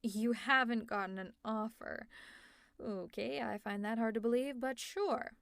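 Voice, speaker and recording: The villainess in a late-night ASMR roleplay, played by a woman, needling her favorite nemesis with fond exasperation and sarcasm in a fairly high voice, close and without any room echo. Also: fairly even intonation, measured pace, creaky, a bit bright. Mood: exasperated, caring. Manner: sarcastic, teasing, conversational. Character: teasing, exasperated, secretly caring female supervillain. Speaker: audio roleplay performer.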